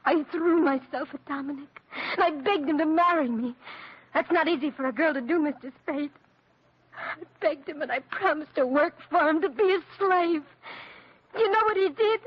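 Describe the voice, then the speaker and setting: A woman's voice: sits high, normal speed, natural voice. An actress playing a passionate, scornful young Italian-American woman spurned in love, in theatrical 1940s radio drama style. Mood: bitter, dramatic, then anguished outrage. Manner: theatrical, emotional, repeats words for emphasis. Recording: dull old radio drama recording.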